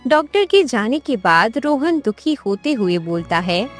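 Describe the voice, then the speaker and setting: A woman's voice: a little high, very animated, a little slow, soft background music. A woman narrating a scripted moral tale for a cartoon, as a storyteller.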